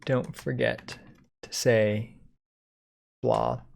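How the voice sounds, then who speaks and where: A man giving a casual software code-along, thinking aloud informally as he types. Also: on the low side, big pitch swings, drawn-out words, gravelly. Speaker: software tutorial instructor.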